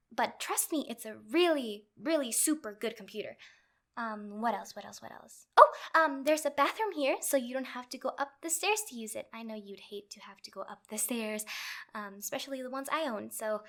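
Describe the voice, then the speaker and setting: Woman acting a high-voiced, flustered yandere girlfriend. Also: close to an everyday voice. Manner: flustered, halting stammers, giggly, rambling. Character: nervous, sweetly clingy yandere girlfriend, flustered and possessive. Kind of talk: girlfriend roleplay audio, comedic.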